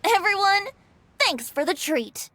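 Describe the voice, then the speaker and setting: A woman's voice: very high voice, lively intonation, unhurried, pushed and bright, heavily acted. A woman voicing a cheerful, polite, slightly self-conscious young guest in an anime game. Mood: cheerful, bashful. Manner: friendly, polite.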